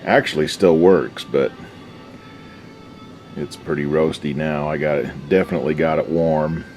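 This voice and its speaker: A man with an extremely low, deep voice chats casually through a hands-on repair job. His delivery is folksy and slangy, muttered as he works, with faint music somewhere behind him. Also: steady tone, a little slow, darkish tone. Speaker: hobbyist radio restorer and video host. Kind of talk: casual unscripted workbench commentary.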